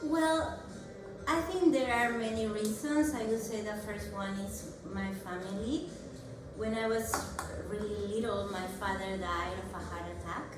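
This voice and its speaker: A woman's voice: medium pitch, fairly even intonation, measured pace, music in the background. Earnest woman from Peru, echoey room. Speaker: wellness coach. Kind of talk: conversational explaining. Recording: very echoey room, far from mic.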